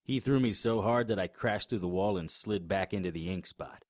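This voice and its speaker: A man narrating a radio drama in the first person with a deep, low voice. He tells it in a wry, matter-of-fact way, recorded dry and close to the mic. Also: normal speed, a little mellow, dry recording. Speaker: narrator and lead actor.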